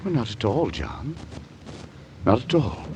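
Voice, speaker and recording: An actor playing a self-absorbed, secretive married poet in an old radio drama, measured and evasive. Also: male voice, medium pitch, big pitch swings, over loud music. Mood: placating. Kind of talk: mid-century American radio drama acting. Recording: old radio recording, faint noise.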